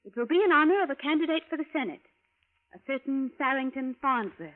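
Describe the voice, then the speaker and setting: An earnest traveler from the future in a vintage radio play. Her voice is on the high side and her phrasing formal and precise. It sounds thin and tinny, like an old broadcast. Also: expressive, fast talker. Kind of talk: 1940s-50s radio drama acting.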